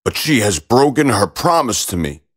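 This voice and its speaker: A man voicing a polite but insistent warty frog in a storybook, croaking and speaking in a formal, measured way. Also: mid-range voice, big pitch swings, fairly bright tone. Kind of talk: storybook character voice.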